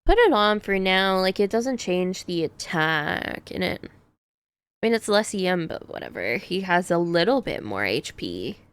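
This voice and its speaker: A woman's voice: on the low side, very animated, unhurried, clear, resonant tone, no room sound. A woman streamer chatting casually on a livestream, thinking aloud about game builds and laughing often.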